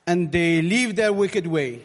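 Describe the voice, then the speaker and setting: Guy preaching, kinda high voice, short halting english phrases, big echoey hall. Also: animated. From Morocco. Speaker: guest preacher giving testimony. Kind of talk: sermon testimony, speaking through an interpreter. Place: large reverberant hall, addressing a congregation. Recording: long hall reverb.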